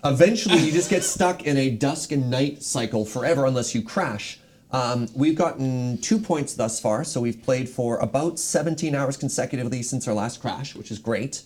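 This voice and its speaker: Guy cohost on a stream, normal pitch, casually explaining stuff to the group. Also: normal speed, darkish tone. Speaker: stream co-host.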